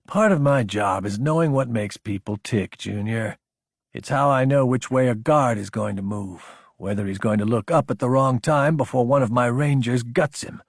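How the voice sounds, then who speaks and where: Man voicing a stern, husky older woman commander. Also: mid-range voice, dry recording, lightly acted. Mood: firm, instructive. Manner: matter-of-fact, dry, deliberate. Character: stern, unflappable older female military commander.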